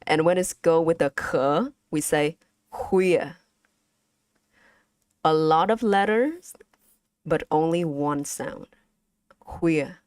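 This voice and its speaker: Young Vietnamese woman, streamer teaching her chat; low and unhurried, halting with fillers, dry close mic. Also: darkish tone, clear, resonant tone, dry recording. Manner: halting, slow and careful, with frequent fillers. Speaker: vtuber streamer teaching language. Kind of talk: casual language lesson to chat.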